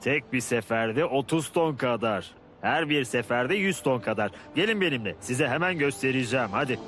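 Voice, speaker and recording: A man's voice: medium pitch, animated, normal speed, background noise, lightly acted. A man voicing a boastful, hot-tempered fishing captain in a dubbed educational cartoon, brash and blustering.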